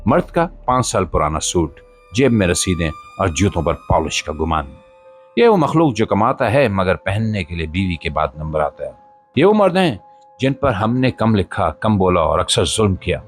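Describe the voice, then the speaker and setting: A man narrates a scripted spoken-word monologue in a solemn, emphatic, rhetorical manner, with short pauses between phrases. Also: low voice, sing-song. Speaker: podcast host and voiceover narrator. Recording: voice-over with music behind.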